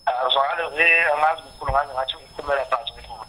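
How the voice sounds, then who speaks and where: A man's voice: medium pitch, very fast, a crowd in the background. A South African man talking casually over the telephone. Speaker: musician taking a phone call. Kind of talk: casual phone conversation. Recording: noisy, humming phone line.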